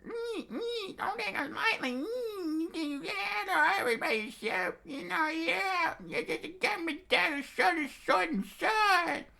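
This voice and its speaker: Frantic, very high-pitched pig pirate. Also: male voice, animated, measured pace, gravelly, hardly any pauses, bright, forceful tone, heavily acted. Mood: blustering defiance. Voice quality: squeaky, very high falsetto. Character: squealing pig pirate captain, alarmed and blustering.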